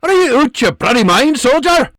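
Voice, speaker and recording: A man voicing a gruff Scottish army sergeant who barks orders and scolds his men in a Scottish accent. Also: very high-pitched, sing-song, normal speed, a bit bright, dry recording, clean studio sound, pitched above the performer's own voice, full character voice. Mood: barking. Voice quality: barking, scolding.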